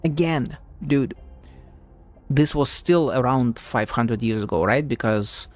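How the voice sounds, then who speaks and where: A man's voice: mid-range voice, slow pace, soft background music. A man streaming a game and giving casual live reactions, slangy and sweary as he thinks aloud.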